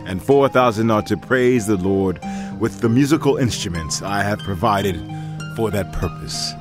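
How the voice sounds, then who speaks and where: A man acting a deep-voiced, devout old king. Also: moderate pace, music in the background, close to an everyday voice. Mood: commanding. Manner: solemn, declamatory. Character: aging King David, commanding, devout Israelite ruler. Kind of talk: dramatized audio Bible acting.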